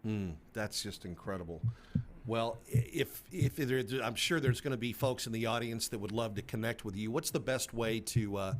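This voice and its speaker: A man hosting a podcast interview, relaxed, sometimes clearing his throat and restarting his sentences. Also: medium pitch, moderate pace, slight background noise. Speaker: podcast host.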